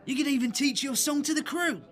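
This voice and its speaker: A man playing a kindly, roguish vagabond recruiter in an audio drama, gravelly voiced, encouraging and jaunty. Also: extremely high pitch, a little fast, fairly bright tone, a faint crowd. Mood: jaunty, persuasive. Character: kindly roguish leader of a vagabond outcast crew.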